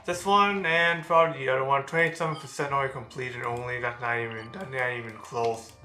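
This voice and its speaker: A man's voice: mid-range voice, fairly even intonation, a little fast, sharp tone. A man streaming a video game, commenting casually in a rambling way with lots of fillers. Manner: rambling, with many fillers and restarts. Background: game music.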